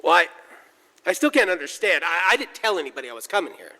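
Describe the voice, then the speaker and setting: A man's voice: high voice, sing-song, fast, gravelly, sharp tone, full character voice. A man playing a flustered, stubborn young suitor in a 1940s radio drama, stammering and blustering as he goes. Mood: flustered, evasive. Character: flustered, stubborn young man reluctantly courting, easily exasperated. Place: large church, before an audience. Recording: live church performance, long hall reverb.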